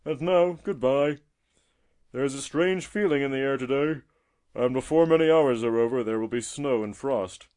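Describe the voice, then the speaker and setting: A man's voice: medium pitch, moderate pace, a little mellow, pitched above the performer's own voice, lightly acted. A man voicing a testy but friendly old male tortoise in a storybook, chatty and avuncular, speaking emphatically. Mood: earnest farewell. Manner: emphatic, chatty, avuncular, fond of tag questions. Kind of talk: storybook character voice.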